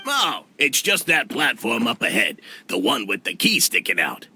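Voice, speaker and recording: A man's voice: mid-range voice, wildly swooping pitch, moderate pace, pushed and bright, slight background noise, exaggerated voice. A man voicing a vain, fussy storybook resident in a video game, self-important and keen to explain. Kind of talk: English video game voice acting.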